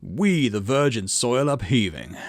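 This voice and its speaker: A man voicing a fanatical monstrous preacher, chanting in a grand, drawn-out declamation. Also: mid-range voice, big pitch swings, no room sound, studio quality. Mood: fervent chanting.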